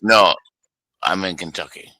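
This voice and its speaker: A man in his fifties from California joins a stream chat over a remote computer mic. His voice is very low but cuts with a sharp, tense edge, and the sound is completely dry. He talks bluntly and gets excitable fast. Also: no room sound. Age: 50s. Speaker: stream call-in guest. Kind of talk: casual chat. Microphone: remote computer mic on a call.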